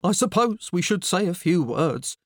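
A woman's voice: medium pitch, expressive, moderate pace, a little mellow, husky, no room sound, voice pushed up. A mournful middle-aged dad, played by a woman narrator, sentimental and henpecked. He sighs and snuffles through his lines with swooping, woeful intonation, in a dry storybook recording. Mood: sighing. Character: gloomy, sentimental, put-upon middle-aged father. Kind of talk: audiobook character voice.

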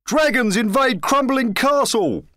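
Man doing a loud, pompous town crier. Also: high voice, very animated, measured pace, no room sound, studio quality, pitched above the performer's own voice, exaggerated voice. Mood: alarmed. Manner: pompous, declaiming. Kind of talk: children's storybook character reading.

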